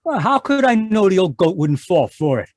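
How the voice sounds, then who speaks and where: An actor playing a hot-tempered American small-time crook in an old-time radio crime drama, talking in gruff, slangy tough-guy style. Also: high-pitched, big pitch swings, moderate pace, dry recording, studio quality. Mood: edgy, gruff. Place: performed from home on a video call.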